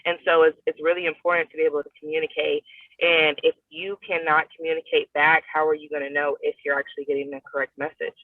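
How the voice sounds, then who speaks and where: Flat-voiced man on a tinny phone line. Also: on the high side, quick pace, no room sound, far from the mic. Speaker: guest. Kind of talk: reflective answer in an interview.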